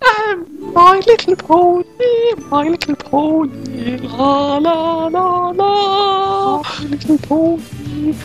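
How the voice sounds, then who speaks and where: A man voicing a childish, ditzy teenage girl with a squeaky voice in a fan story. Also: extremely slow, fairly bright tone, soft background music, exaggerated voice, poor audio. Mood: singsong. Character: sweet, ditzy teenage girl with violent outbursts.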